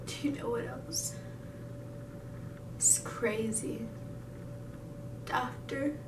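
Woman acting a halting, unhinged grieving mother, echoey room. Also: steady tone. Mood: hushed, bitter. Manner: halting, emphatic, swinging between hushed and wailing. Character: grieving, unhinged mother confronting her psychiatrist. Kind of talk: dramatic stage monologue. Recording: roomy, distant mic, noisy with rumble.

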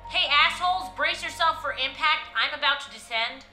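Woman acting as brash lady sky pirate pilot, high voice, blunt and bossy, echoey radio play. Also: moderate pace, pushed and bright. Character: brash, no-nonsense female airship pirate pilot. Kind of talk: science fiction radio drama acting. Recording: echoey, music behind.

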